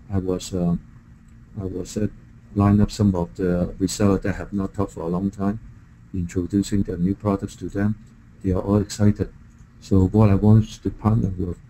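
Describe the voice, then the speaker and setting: A middle-aged man with a Hong Kong accent talks through a business pitch in a deep-leaning, very soft-edged mellow voice. He is halting and often repeats a word before moving on. There is noticeable background noise on the call. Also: level tone, rough recording. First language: Chinese. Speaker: software vendor presenting to a prospective partner.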